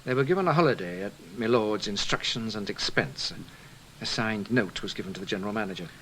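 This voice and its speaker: An actor playing a deferential security investigator in a radio drama, giving polite, factual reports. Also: male voice, lowish voice, brisk, a bit bright, slight background noise, lightly acted, low-quality recording. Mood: informative, dry. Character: deferential, matter-of-fact male British security investigator. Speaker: subordinate colleague reporting.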